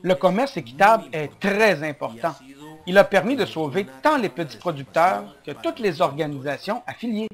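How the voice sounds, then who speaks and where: Voice-over reader, male, slightly high voice, steady and measured delivery. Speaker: voice-over translator. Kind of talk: read voice-over.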